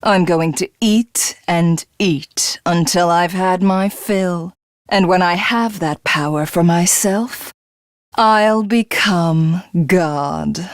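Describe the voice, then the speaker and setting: A woman's voice: lowish voice, slow pace, dry recording, clean studio sound. An actress playing an arrogant, power-hungry alien warrior woman in a video game, with a haughty, grandiose and theatrical delivery. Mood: gloating, calculating. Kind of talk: video game character voice acting.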